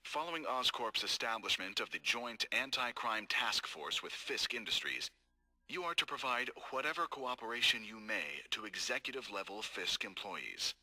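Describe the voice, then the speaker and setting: A man voicing a cold, scheming corporate executive in game audio logs, calm, measured and formal. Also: on the low side, faint music behind, heavily acted, rough recording. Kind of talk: recorded game audio log.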